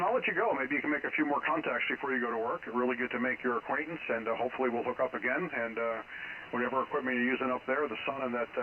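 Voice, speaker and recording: An American amateur radio operator, a man chatting casually and rambling from story to story over a radio transmission. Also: mid-range voice. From United States. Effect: shortwave radio transmission. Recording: narrow, noisy two-way radio signal.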